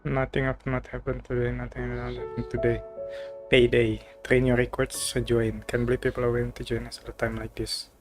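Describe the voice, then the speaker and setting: A woman's voice: very deep voice, little pitch movement, brisk. A woman reading video game text aloud with brief casual reactions. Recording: game music behind the voice.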